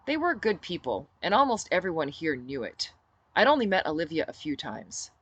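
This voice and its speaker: A woman reading her own novel aloud as expressive first-person narration. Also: sits low, normal speed, breathy edge, no room sound, dull audio. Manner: dramatic reader who shifts into character for dialogue.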